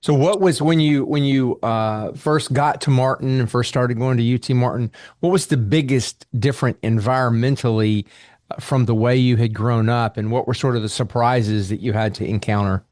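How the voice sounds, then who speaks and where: A man's voice: mid-range voice, steady tone, normal speed, a little mellow, no room sound, clean studio sound. A man hosting a podcast, asking curious questions and often restarting them. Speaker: podcast host. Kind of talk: conversational interview questions.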